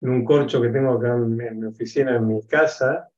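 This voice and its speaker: A man in his sixties from Argentina with an Argentine accent, speaking as an interview guest on a video call from his home office, in a measured, reflective way with many hesitations. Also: medium pitch, fairly even intonation, a little mellow, highs cut off. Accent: Argentine Spanish. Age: 60s. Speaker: guest, education expert. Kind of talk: interview conversation.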